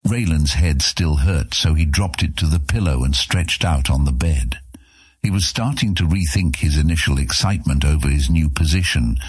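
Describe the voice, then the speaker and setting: Male audiobook narrator for scripted fantasy. Bottom-register pitch, some rasp, no room sound. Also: darkish tone, dry recording.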